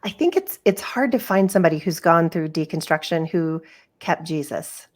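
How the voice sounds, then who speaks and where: A woman's voice: fairly low pitch, animated, moderate pace. A woman hosting a podcast interview, talking in an earnest, effusive way with plenty of fillers. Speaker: podcast host. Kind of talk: casual interview chat.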